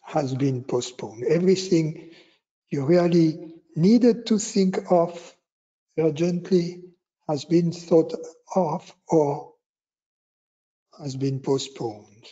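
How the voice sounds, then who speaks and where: A man teaching meditation, giving guided instructions in short, unhurried phrases with long pauses between them. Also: on the high side, mellow, lo-fi sound.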